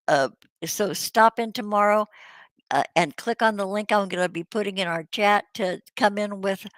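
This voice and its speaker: A woman's voice: medium pitch, a bit bright, no room sound. A woman hosting a casual livestream chat, rambling, laughing and swearing freely while she loses her voice. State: losing her voice. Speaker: host.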